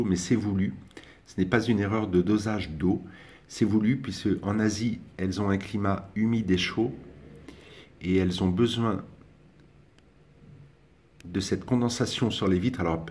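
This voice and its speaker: Young man, very low voice, halting hobby commentary, lots of filler sounds. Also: a little mellow. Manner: hesitant, many "euh" fillers and restarts. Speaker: ant-keeping hobbyist vlogger. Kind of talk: casual spoken commentary over filming.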